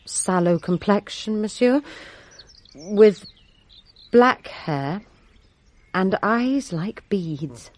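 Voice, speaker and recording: A woman's voice: medium pitch, animated, very slow, pauses often, a little mellow, dry recording. A woman playing a servant giving formal, deferential testimony in a radio drama, composed yet resentful of a rival. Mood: careful testimony. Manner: formal, deferential, precise storytelling.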